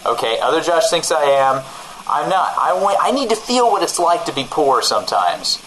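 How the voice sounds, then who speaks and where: A man's voice: fairly high pitch, normal speed, slight background noise, rough recording. A man playing a vain, pretentious, easily rattled rich co-host bickering on a comedy radio show. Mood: smug. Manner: pompous, excitable, bickering. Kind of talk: improvised-sounding comedy radio banter.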